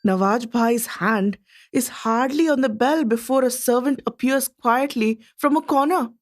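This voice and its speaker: A woman acts out a curious, admiring Indian lady visiting Edwardian England in a radio play. Her voice is on the high side, and she delivers her diary in precise, formal, expressive phrases. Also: measured pace, slight background noise, close to an everyday voice. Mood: impressed, curious. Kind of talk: scripted dramatic reading of a diary.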